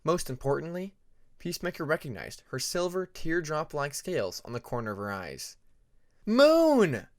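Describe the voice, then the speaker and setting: A man's voice: fairly high pitch, expressive, brisk, a bit bright, dry recording, studio quality. A man reading a scripted story as an audiobook narrator.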